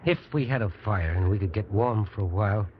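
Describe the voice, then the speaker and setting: Man playing a deep-voiced, resigned fugitive. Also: fairly quick, lightly acted. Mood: reflective, bargaining. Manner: resigned, fatalistic, plainspoken. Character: resigned, wry male fugitive prisoner, freezing and worn out. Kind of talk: old-time radio drama acting. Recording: dull, noisy old radio recording.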